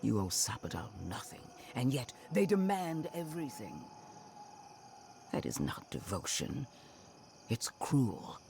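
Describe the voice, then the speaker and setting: An actor playing a stern, solemn undead zealot in a fantasy game, speaking deliberately and accusingly. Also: male voice, medium pitch, wildly swooping pitch, vocal fry, darkish tone, a little hiss or hum, heavily acted. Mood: grave, accusing. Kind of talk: fantasy game cutscene acting.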